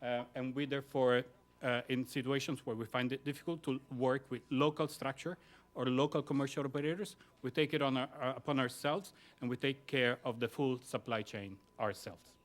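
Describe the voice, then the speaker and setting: A man on a conference panel answering an audience question about relief work, speaking in a measured way with many pauses. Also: medium pitch, fairly even intonation. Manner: measured, with frequent filler pauses. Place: conference room.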